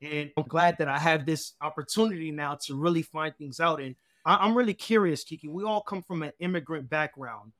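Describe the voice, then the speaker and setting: Male co-host from the United States, higher-pitched, curious and upbeat, dry sound. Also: brisk, hardly any pauses, a bit bright, dry recording. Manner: upbeat, curious, repetitive phrasing. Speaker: podcast co-host. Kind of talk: podcast interview.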